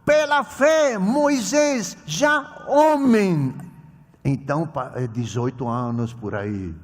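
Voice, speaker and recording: A man preaching a sermon in Portuguese as a non-native speaker, emphatic, swinging between loud exclamations and quiet asides, often restarting words. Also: very high-pitched. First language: not Portuguese. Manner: halting, repeats and corrects words mid-phrase. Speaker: preacher at a children's ministry conference. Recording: echoey hall reverb.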